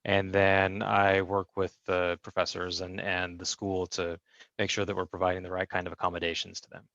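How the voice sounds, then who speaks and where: A man voices a deaf guest's answers in the first person over a video call, his speech measured and full of fillers and restarts. His voice sits a little low. The audio is dry and muffled. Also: monotone, vocal fry, a bit bright, no echo. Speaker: sign language interpreter voicing a deaf guest. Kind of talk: first-person interpreting in an interview.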